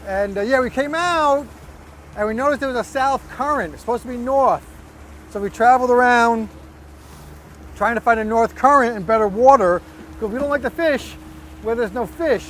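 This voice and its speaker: Casual male angler, notably high voice, explains gear step by step, light boat noise behind. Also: moderate pace. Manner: laid-back, explains step by step. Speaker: fishing partner, co-host. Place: outdoors on a fishing boat offshore.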